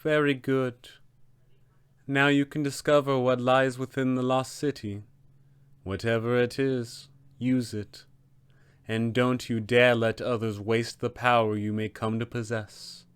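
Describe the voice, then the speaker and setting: A man voicing a haughty, scheming female wizard diviner with a measured, disdainful delivery. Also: medium pitch, level tone, smooth, dry recording, close to an everyday voice. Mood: urgent, commanding.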